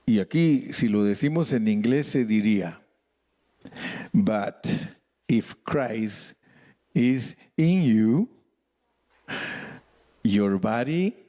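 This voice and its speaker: Male pastor, Spanish native, unhurried sermon with wide lively pitch and dark warm tone. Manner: animated preaching with playful asides and shouted exclamations. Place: church sanctuary.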